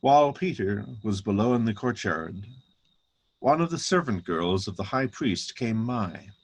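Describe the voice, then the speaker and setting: Male narrator for a scripture reading, lowish register, raspy tone. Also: animated, a little slow.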